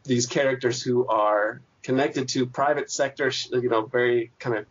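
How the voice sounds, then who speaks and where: A man answering interview questions at length in a thoughtful, halting academic way, with frequent restarts and fillers. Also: mid-range voice, fairly even intonation, breathy edge. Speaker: interview guest, PhD candidate. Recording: dull lo-fi podcast audio.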